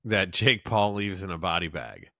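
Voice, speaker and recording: Man, from Cincinnati, low, bright tone, dry close sound, playful and teasing. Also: very animated, moderate pace, vocal fry, dry recording. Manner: joking, teasing. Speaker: podcast co-host. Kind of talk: casual podcast banter.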